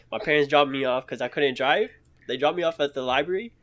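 A man's voice: fairly high pitch, brisk, a bit bright. Laid-back young man telling stories. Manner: laid-back slang, laughs through stories. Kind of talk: casual storytelling chat.

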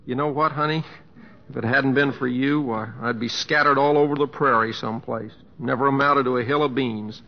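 An actor playing a humble, affectionate middle-aged American cowboy humorist with homespun, hesitant phrasing in an old radio drama. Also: male voice, mid-range voice, steady tone, fairly quick, creaky, lightly acted. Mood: wry, tender. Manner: homespun, drawling folksy phrasing with gentle hesitations. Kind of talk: 1950s radio drama acting. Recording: muffled old radio recording, hiss, long reverb.

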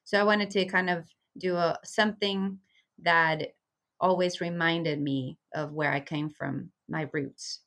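A woman's voice: lowish voice, fairly even intonation, measured pace, a bit bright. A woman from Venezuela, a community liaison, speaking earnestly and reflectively as a guest in an interview. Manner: earnest, reflective, with frequent fillers. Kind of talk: conversational interview.

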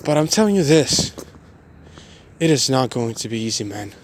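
A man of twenty-one giving a motivational personal monologue in a halting, repetitive, emphatic way. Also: medium pitch, big pitch swings, slight background noise.

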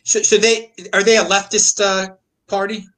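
A man's voice: high-pitched, flat delivery, moderate pace, fairly bright tone. A man hosting an interview, asking curious questions with hesitant fillers. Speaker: interviewer, host. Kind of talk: interview conversation.

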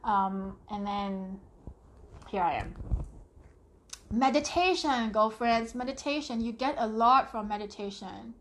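A woman, her voice sitting a bit higher than most. She hosts a casual live talk, reading viewers' comments aloud and often tagging "right?" onto her points. There is a faint hiss behind her. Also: a little slow, pauses often. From Singapore. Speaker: live stream host.

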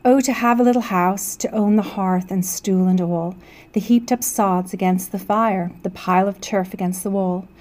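A woman's voice: medium pitch, steady tone, normal speed, a little mellow. A woman, a teacher reading poetry aloud.

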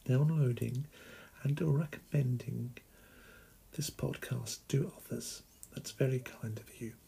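Solo podcast host, man from England, middle-aged, slow deliberate delivery, gravelly husky voice. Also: fairly high pitch, dark tone.

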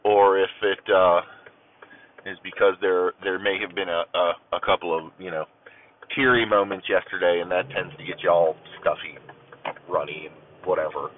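A man's voice: low-pitched, fairly even intonation, moderate pace. Stuffy-voiced rambling male podcast host. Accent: Ohio. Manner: rambling, many ums and uhs, self-deprecating. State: stuffy, maybe a cold, clearing throat. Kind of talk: casual solo podcast chat. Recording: tinny phone-like audio.

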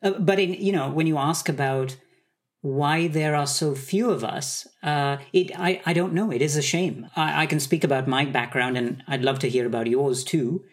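A man from Sri Lanka, a conductor, answering interview questions in a thoughtful, measured way. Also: fairly high pitch. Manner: thoughtful, measured, some hesitations. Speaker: conductor, guest.

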